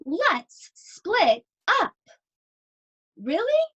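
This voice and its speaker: Lady doing a little boy explorer from a picture book, earnest, matter-of-fact, pitch bouncing around. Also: wildly swooping pitch, extremely slow, lo-fi sound, full character voice. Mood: hopeful. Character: earnest young boy digger, keen for adventure.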